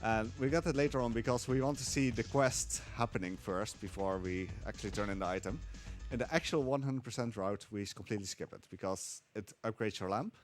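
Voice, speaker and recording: A man's voice: lowish voice, fairly quick, few pauses, soft background music. A man with a Dutch accent giving casual live commentary on his speedrun, explaining as he plays.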